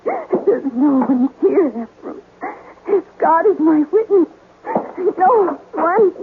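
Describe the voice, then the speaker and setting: A frightened young wife in an old radio melodrama. Her voice is very high and slow, full of breathless pleading, on a muffled vintage broadcast. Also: lively intonation, noisy background, full character voice. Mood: frightened, desperate. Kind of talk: old-time radio drama acting.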